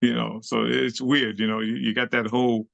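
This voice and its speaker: Gravelly New man in his sixties. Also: mid-range voice, moderate pace, no echo. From Haiti, raised in Brooklyn, New York. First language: Haitian Creole. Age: 60s. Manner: animated, slangy, laughs often. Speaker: interview guest, club dancer. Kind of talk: casual storytelling interview.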